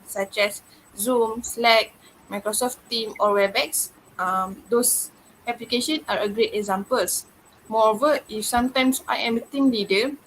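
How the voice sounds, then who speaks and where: Malaysian woman, 20s, student interviewer, measured and careful, higher register. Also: a bit bright, a little hiss or hum, low-quality recording. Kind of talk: prepared class interview answers.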